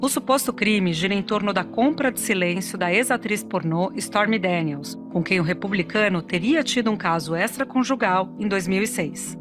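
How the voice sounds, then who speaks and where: A woman's voice: medium pitch, normal speed, few pauses. A Brazilian woman presenting scripted news narration on a podcast. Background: music.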